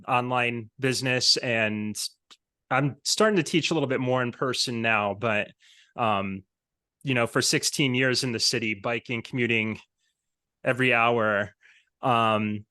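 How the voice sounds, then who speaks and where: A man's voice: mid-range voice, measured pace, pushed and bright. A middle-aged American man from Pennsylvania, chatting casually as a podcast host. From Pennsylvania, United States. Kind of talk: casual conversational interview.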